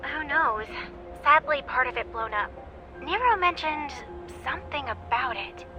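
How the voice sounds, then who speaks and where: An actress playing an excitable, chatty young female researcher in a video game. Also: high-pitched, unhurried, dull audio, faint music behind, heavily acted. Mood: excited.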